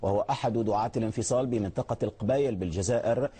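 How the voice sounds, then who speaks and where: A man reading a news report in a brisk, formal manner. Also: fairly low pitch, dark tone, husky. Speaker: news reader.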